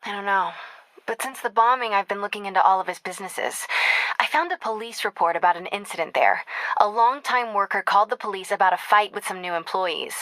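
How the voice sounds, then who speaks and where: An actress with an American accent playing a caring, determined young reporter in a video game, speaking in an earnest, conversational, confiding way. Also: female voice, mid-range voice, a little fast, fairly bright tone, no room sound, lightly acted, poor audio. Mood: focused. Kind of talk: naturalistic video game acting.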